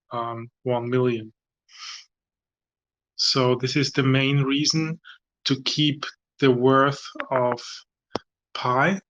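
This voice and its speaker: Slow, halting man with flat delivery. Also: mid-range voice. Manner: halting, searching for words. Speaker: community discussion participant. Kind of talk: explaining an opinion. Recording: dull lo-fi audio.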